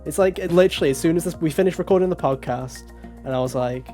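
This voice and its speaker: Man, raspy, hoarse, fairly high voice, podcast host, joking, self-mocking. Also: fast talker, dark tone, faint music behind. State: hoarse, recovering from covid. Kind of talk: casual gaming podcast chat.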